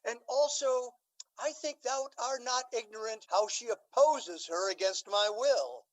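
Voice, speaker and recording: A woman's voice: fairly high pitch, unhurried, lightly acted, rough recording. A woman playing a scheming, pompous older Duke in a stately, theatrical Shakespearean radio drama. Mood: stately, confiding.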